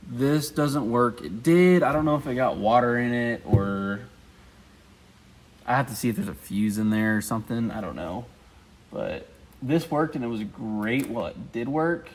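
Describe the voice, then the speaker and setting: A relaxed man rambles through a show-and-tell of his car, leaning on fillers and letting sentences trail off. He sits inside the vehicle and talks to a camera set up in front of him. His pitch sits in the ordinary middle range for a man. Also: a little hiss or hum. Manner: rambling and loose, heavy on fillers and trailing thoughts. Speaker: vlogger showing his car setup. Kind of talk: casual show-and-tell vlog. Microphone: camera mounted in the car.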